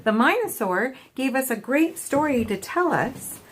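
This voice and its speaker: A woman's voice: sits high, very animated, a little slow, slightly rough. A woman hosting a children's storytime, reading a picture book aloud expressively and chatting with a child. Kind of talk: animated read-aloud for children.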